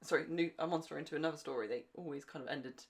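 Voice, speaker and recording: A woman's voice: low voice, racing. A woman co-hosting a podcast in casual, conversational talk. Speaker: podcast co-host. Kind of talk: casual chat.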